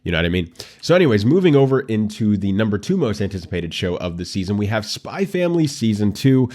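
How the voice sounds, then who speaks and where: A man's voice: on the low side, very animated, fast, darkish tone. A man hosting a casual anime commentary show, congested and nasal from allergies, with a dry throat, chatting straight to his viewers. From United States.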